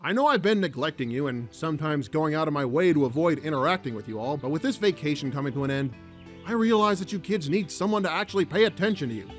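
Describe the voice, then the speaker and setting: A man plays a weary, grumpy cartoon dad. The pitch is high and lively, the delivery sardonic and exasperated, with soft music underneath. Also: fast, lightly acted. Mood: earnest, sardonic. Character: grumpy, weary father trying to reconcile with family. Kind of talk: cartoon parody voice acting.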